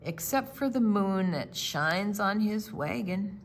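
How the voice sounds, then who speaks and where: Slow, highly expressive woman reading a storybook. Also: slight background noise. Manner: gentle, dramatic pauses, drawn-out words. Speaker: storytime reader. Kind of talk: reading a picture book aloud for young children.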